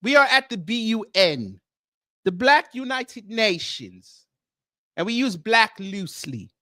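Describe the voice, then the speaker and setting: A man's voice: high voice, very animated, unhurried, pushed and bright, no echo, clean studio sound, full character voice. A man putting on a Nigerian accent to play a grandiose, formal, self-proclaimed prince who hosts a livestream and greets viewers one by one. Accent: put-on Nigerian. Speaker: livestream host. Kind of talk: comedic character livestream.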